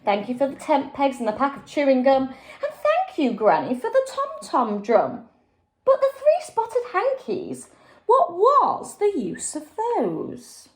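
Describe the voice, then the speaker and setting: A woman voicing a calm young boy sailor who reassures his panicking friends in a storybook. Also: sits high, slow, roomy sound, exaggerated voice. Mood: grateful.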